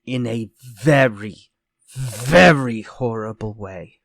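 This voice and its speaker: An actor playing a sinister, vengeful ex-convict murderer in retro radio drama style, speaking in a slow, theatrical, menacing way. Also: male voice, medium pitch, vocal fry, a bit bright, no echo, clean studio sound, heavily acted. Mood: vengeful menace.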